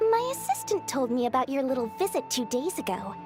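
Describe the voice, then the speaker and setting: A woman's voice: high voice, big pitch swings, a little fast. A woman voicing a small, sly, greedy merchant in a video game, with smug, theatrical salesmanship. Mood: smug, scheming. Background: game music.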